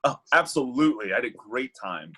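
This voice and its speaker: A man's voice: mid-range voice, animated, a little fast, a bit bright, husky. A man reading the part of a flippant, evasive, bitter thirty-year-old Cupid at a comedy table read. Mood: breezy, evasive.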